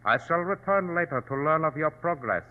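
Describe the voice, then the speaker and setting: An actor playing a grave, imperious Chinese provincial governor, formal and courteously menacing, in old-time radio drama style. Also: male voice, a little high, animated, lightly acted. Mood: formal, cool. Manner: formal, stately, deliberate. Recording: thin phone-like vintage radio recording.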